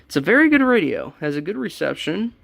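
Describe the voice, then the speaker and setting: A man's voice: sits high, sing-song, fairly quick, gravelly, a bit bright, slight background noise. A young man giving a casual show-and-tell of his collection, rambling and correcting himself as he goes. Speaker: radio collector showing a collection. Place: small cluttered room indoors.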